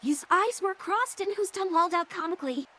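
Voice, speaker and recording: A woman's voice: extremely high pitch, animated, brisk, sharp tone. A woman narrating a scripted story as the narrator of an audio drama. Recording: clearly noisy background.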